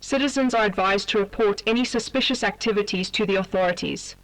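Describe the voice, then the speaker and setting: The voice is that of an official announcer delivering a scripted advisory. It is formal, measured and impersonal, sitting at a medium pitch. It sounds set back from the microphone, like a radio broadcast. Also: fairly bright tone, recorded from a distance, poor audio.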